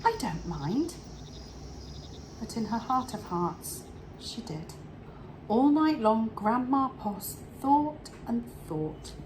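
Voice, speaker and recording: Female children's story reader, highish pitch, very lively intonation, unhurried, expressive drawn-out delivery. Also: slight background noise, rough recording. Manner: animated, dramatic pauses and drawn-out words. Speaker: storybook reader for an English club.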